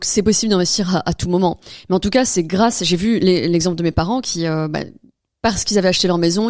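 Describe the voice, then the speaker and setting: A woman's voice: fairly low pitch, darkish tone, no room sound, clean studio sound. A woman being interviewed as a podcast guest, telling her own story in casual, informal speech. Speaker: interview guest, real estate investor.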